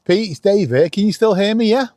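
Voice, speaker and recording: A man's voice: high voice, expressive, fairly quick, background noise. A middle-aged man, chatting casually and enthusiastically as a self-deprecating YouTube host.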